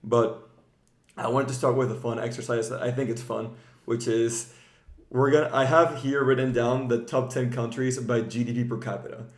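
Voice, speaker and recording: A man's voice: mid-range voice, fairly even intonation, normal speed. Casual man hosting a podcast, roomy sound. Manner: informal, peppers talk with "like". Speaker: podcast host. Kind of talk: casual conversational podcast talk. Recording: roomy, echoing room sound.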